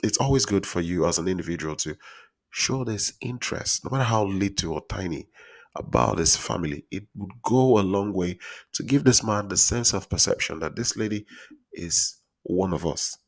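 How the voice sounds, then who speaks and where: A man with a very deep voice and a Nigerian accent talks casually to his viewers and gives relationship advice. He is earnest and repeats himself, calls his listeners guys, and keeps his voice soft because he is on the road. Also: moderate pace. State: speaking softly while traveling. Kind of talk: casual advice monologue to camera.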